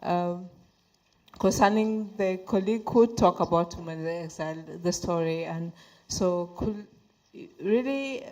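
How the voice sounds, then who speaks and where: A woman speaking on a panel, hesitant and halting with many fillers. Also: fairly low pitch, darkish tone, poor audio. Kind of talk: unscripted panel remarks.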